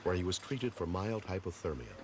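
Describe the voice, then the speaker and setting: A man's voice: deep voice, very animated, fairly quick. A man reading scripted narration for a rescue documentary. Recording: loud noise behind narration.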